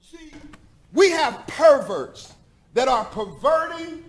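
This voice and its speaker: A man with a high-pitched voice delivers an impassioned public address. He is emphatic and deliberate, pressing his points like a confrontational pastor addressing officials at a meeting. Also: very animated. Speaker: pastor speaking at school board meeting.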